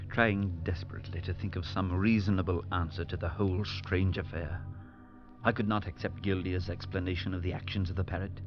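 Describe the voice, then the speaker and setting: An earnest priest telling his tale in a measured, low voice. Faint music sits under a dull lo-fi vintage radio sound. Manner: measured narration with rising alarm in dialogue. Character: male priest narrator, earnest, steady, unsettled. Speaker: narrator. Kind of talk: 1940s radio acting.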